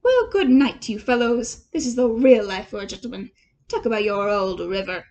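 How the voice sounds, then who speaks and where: A woman voicing a boastful, wheedling male toad gentleman from a storybook, gushing and theatrical. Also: a little high, expressive, normal speed, dull audio, pitched below the performer's own voice. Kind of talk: storybook character voice.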